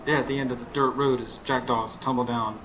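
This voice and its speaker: A man's voice: mid-range voice, steady tone, a little fast, lightly acted. Actor as weary, haunted working man narrating. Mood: weary, brooding. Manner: folksy, colloquial. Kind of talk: radio drama first-person narration and dialogue. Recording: faint noise, live stage recording.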